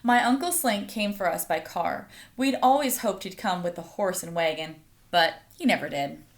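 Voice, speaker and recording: A woman's voice: on the low side, creaky. A woman reading a children's picture book aloud as a storyteller, addressing young listeners directly. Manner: pauses to ask listeners questions.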